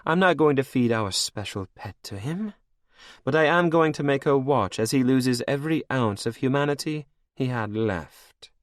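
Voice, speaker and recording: A man's voice: mid-range voice, lively intonation, normal speed, dry recording, studio quality, pitched above the performer's own voice, natural voice. A man voicing a cruel, regal female vampire queen who speaks sweetly and mockingly. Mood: mocking command.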